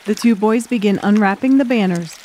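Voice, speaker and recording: Female audiobook narrator, medium-pitched voice, scripted dramatic storytelling. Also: fairly quick, mellow, a little hiss or hum.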